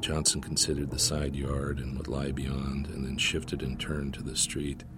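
Very deep-voiced man reading fiction, music behind. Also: level tone, normal speed. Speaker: author reading own short story. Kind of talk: scripted short story narration.

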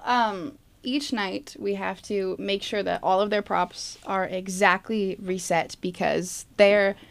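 Young woman with a creaky voice, chatting. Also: mid-range voice. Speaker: stage manager. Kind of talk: casual interview talk.

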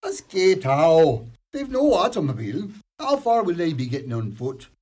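An actor playing a suspicious, dryly stern Irish small-town investigator in a radio play, husky and probing. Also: male voice, a little high, huge pitch swings, moderate pace, mellow. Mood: dry, resigned. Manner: dry, probing.

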